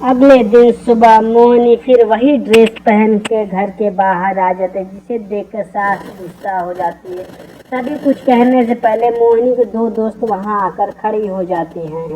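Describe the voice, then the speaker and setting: A woman's voice: mid-range voice, measured pace, dull audio, a little hiss or hum. A woman narrating a scripted story.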